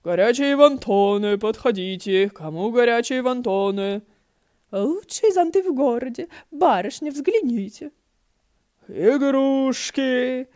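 Женщина озвучивает толстого уличного торговца, громко и протяжно зазывающего покупателей в аудиокниге. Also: female voice, on the high side, lively intonation, no echo, clean studio sound, pitched above the performer's own voice. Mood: hawking. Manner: calling out loudly, drawn-out. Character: loud fat street vendor hawking his wares.

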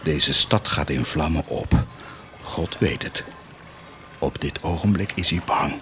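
An actor playing a cruel, blasphemous warlord in a radio drama, mocking and grandiose, declaiming sardonically with dramatic pauses. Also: male voice, extremely low pitch. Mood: brooding, menacing. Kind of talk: theatrical radio drama acting.

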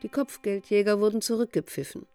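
A woman narrating a radio play in a measured, solemn and composed manner. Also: medium pitch, dark tone, soft background music, close to an everyday voice. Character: female narrator of a prophet's tale, composed, solemn.

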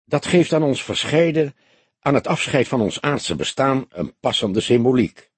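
A man voicing an old police detective in an audiobook, solemn, philosophical and gentle, speaking in a grave, measured, lecturing way. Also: mid-range voice, lively intonation, breathy edge, dry recording, pitched above the performer's own voice. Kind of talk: audiobook character dialogue.

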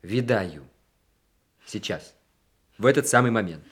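An actor in a radio play, playing a wry, self-mocking army senior lieutenant who regrets lost love. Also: male voice, on the low side, lively intonation, measured pace, a bit bright, slightly rough, full character voice. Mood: teasing.

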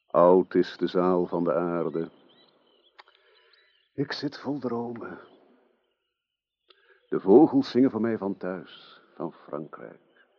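A man's voice: deep voice, expressive, takes it slowly, long pauses, dry recording, heavily acted. A man playing a dreamy, courteous knight in a radio drama, speaking in a courtly, theatrical way. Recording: dull old radio recording.

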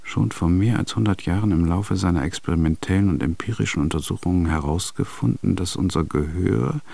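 Brooding composer talking to himself, low gravelly husky voice, radio play. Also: male voice, a little fast, dark tone. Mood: musing. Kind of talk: radio drama monologue.

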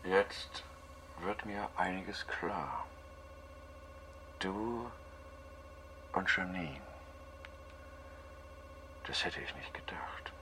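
A man playing a self-controlled, sombre captive scientist in a science fiction radio drama, giving terse, subdued, halting replies. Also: deep voice, lively intonation, sharp tone, exaggerated voice. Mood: subdued, resigned. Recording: faintly noisy amplified background recording.

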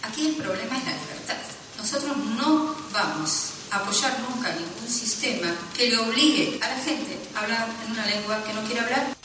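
A woman's voice: a little high, animated, moderate pace. A woman speaking as a councillor in a council debate, firm and earnest. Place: council chamber. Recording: distant echoey hall.

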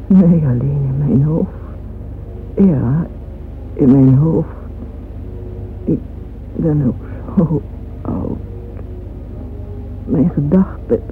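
An actress playing a grieving, weary old woman in an old radio play, speaking in a halting, anguished, dramatic way. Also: low-pitched, heavily acted. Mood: anguished grief. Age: older. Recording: dull vintage radio recording with music.

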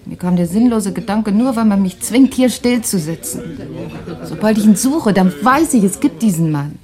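An actress playing an anguished young refugee wife in a radio play, speaking haltingly and intensely, at times breathy. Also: female voice, mid-range voice, lively intonation, warm tone, close to an everyday voice. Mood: agitated, insistent. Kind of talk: dramatic radio play acting.

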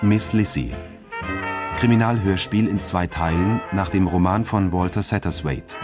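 Casting: male radio play announcer, lowish voice, very deliberate pace, formal, music clearly behind. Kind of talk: radio drama credits announcement. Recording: studio announcement over clear brass music.

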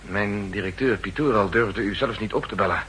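Male actor as earnest troubled official, lowish sharp tense voice, formal diction, 1960s radio play, slight hum and noise. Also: normal speed, natural voice. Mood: anxious, earnest. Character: worried, earnest male official seeking help. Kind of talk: 1960s Dutch radio drama acting. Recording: noisy, humming old radio recording.

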